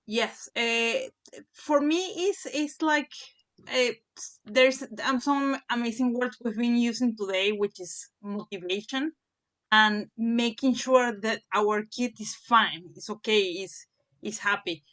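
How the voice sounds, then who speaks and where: Hesitant woman, slightly high voice. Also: slow pace, fairly bright tone. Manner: hesitant, full of fillers and restarts. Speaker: parent panelist. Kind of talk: panel discussion.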